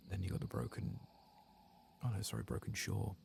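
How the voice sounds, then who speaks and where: Male ASMR game streamer: deep, heavily creaky, hushed near-whisper commentary over clearly noisy ambience. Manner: hushed, often drifting into whisper. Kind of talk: soft-spoken ASMR gameplay commentary.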